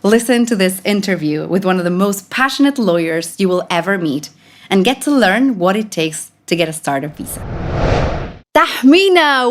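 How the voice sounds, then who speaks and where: Female podcast host, mid pitch, enthusiastic interviewer. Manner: enthusiastic, some restarts. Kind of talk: podcast intro and interview questions.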